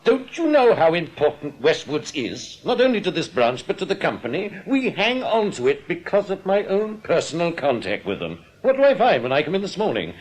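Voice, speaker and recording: A man playing a smooth, domineering English bank manager in a radio drama, with a condescending, clubby manner. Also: a little high, very animated, normal speed, few pauses, low-quality recording. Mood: indignant. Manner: condescending, old-school clubby address. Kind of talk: British radio drama acting.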